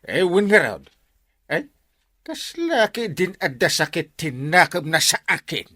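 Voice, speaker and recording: A man's voice: on the high side, big pitch swings, measured pace, pushed and bright, dry recording, clean studio sound, heavily acted. A man acting a cocky, boastful younger village watchman in an Ilocano comedy drama, with an Ilocano accent. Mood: gossipy.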